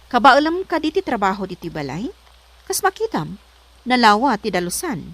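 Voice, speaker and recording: A woman's voice: on the high side, very animated, moderate pace. A woman with an Ilocano accent playing a strict, suspicious, bossy lady of the house in a comedy drama. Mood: stern, questioning. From Philippines. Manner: scolding, demanding.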